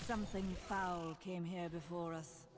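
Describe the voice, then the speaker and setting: A woman's voice: fairly low pitch, fairly even intonation, a little slow, natural voice. Woman acting a grim, terse necromancer over music. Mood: cool, questioning. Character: grim, commanding female necromancer. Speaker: player character. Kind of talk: fantasy video game acting.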